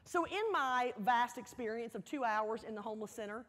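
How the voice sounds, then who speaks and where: A woman giving a motivational speech, telling a personal story deliberately, with dramatic pauses and emphatic repetition. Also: fairly high pitch, animated, fairly bright tone. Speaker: keynote speaker. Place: large hall, speaking to an audience. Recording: echoey hall, long reverb.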